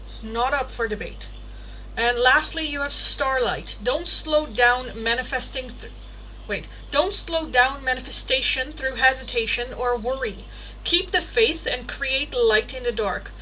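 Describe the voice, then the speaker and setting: A young woman from the Netherlands with a Dutch accent hosts a tarot livestream, talking casually and swearing now and then. Her voice is bright and sits a little high. A faint hiss of room noise stays under her voice. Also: a little slow, poor audio. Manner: chatty, laughs often, swears casually, reads card text aloud. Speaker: tarot reader hosting a livestream. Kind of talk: casual livestream chat and card reading.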